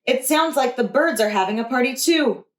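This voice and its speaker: Lady doing a sweet eager little girl from a kids storybook, highish voice, echoey room. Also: animated, normal speed. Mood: earnest.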